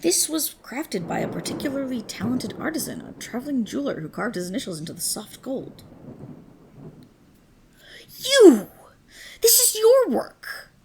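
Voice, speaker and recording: A woman voicing a proud, possessive dragon queen in a dramatic ASMR roleplay, with regal, commanding, formal diction and a breathy, husky, creaky voice. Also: sits high, very animated, moderate pace, long pauses, darkish tone, a little hiss or hum, rough recording. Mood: furious.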